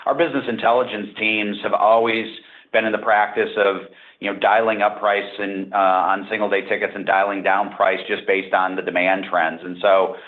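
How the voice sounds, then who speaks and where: A man speaking as a company executive, explaining things off the cuff on an earnings call in measured, hesitant phrases. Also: on the low side, little pitch movement. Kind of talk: unscripted answers on an earnings call. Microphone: conference call line. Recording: narrow, phone-like conference call audio.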